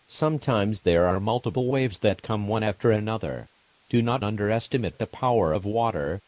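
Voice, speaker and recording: Low-pitched, dry male warning announcer. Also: a little mellow, no room sound. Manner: impersonal, measured announcement. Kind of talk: formal scripted emergency broadcast.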